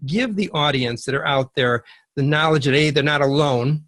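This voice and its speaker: A man's voice: a little high, normal speed, fairly bright tone, dry recording. Dry, close-sounding male interviewer. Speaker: show host, interviewer. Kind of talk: scripted introduction and interview questions.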